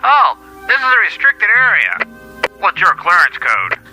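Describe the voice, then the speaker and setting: A man's voice: high voice, wildly swooping pitch, unhurried, bright, forceful tone, soft background music, exaggerated voice, rough recording. A man playing a suspicious, bossy Imperial guard in an audio drama, questioning curtly through a helmet radio filter. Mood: officious, suspicious. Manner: curt, officious questioning. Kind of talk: read-along audio drama acting.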